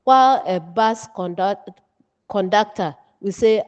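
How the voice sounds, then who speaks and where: A Ghanaian woman delivers a lesson at a slow, deliberate pace. She breaks her teaching into short, emphatic phrases. The recording is dull and muffled, with long reverb around her voice. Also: mid-range voice, clear, resonant tone. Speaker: writing teacher. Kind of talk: instructional lecture from notes.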